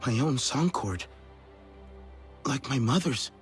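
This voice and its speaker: A young alien warrior in a video game drama. His voice is average in pitch, sincere and a little questioning. Faint music sits behind it. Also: lively intonation, takes it slowly. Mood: wistful. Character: earnest young Na'vi man, orphaned trainee warrior.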